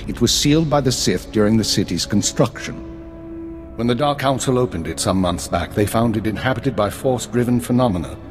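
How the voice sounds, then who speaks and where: Casting: man to play imperial spymaster for a game, low register, brisk and commanding, faint score behind. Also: natural voice. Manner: authoritative, formal, brisk. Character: stern male imperial intelligence chief, clipped and authoritative. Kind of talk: video game cutscene acting. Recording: reverberant hall, faint music underneath.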